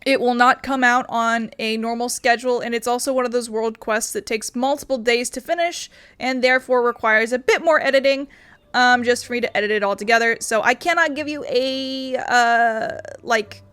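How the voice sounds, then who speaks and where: A woman's voice: fairly high pitch, a bit bright. A woman hosting a casual game playthrough, playful, chatty and rambling. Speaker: gaming video host. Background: game music.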